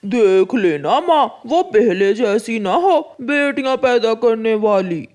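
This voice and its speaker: A woman's voice: fairly high pitch, lively intonation, takes it slowly, darkish tone. A woman voicing a cruel crow husband in a cartoon story, contemptuous and commanding. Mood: scornful.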